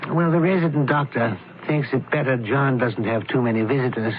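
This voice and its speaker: A man playing a shrewd, composed doctor sleuth with a measured, probing, courteous manner in a radio drama. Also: mid-range voice, natural voice. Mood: composed, scheming. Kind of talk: old-time radio drama acting. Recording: thin, noisy phone-like vintage radio recording.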